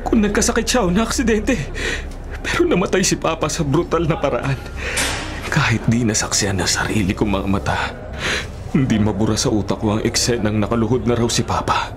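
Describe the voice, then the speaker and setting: An actor playing a vengeful, unrepentant young son in a Filipino radio drama, intense, bitter and resolute. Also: male voice, mid-range voice, normal speed, noisy background, lightly acted.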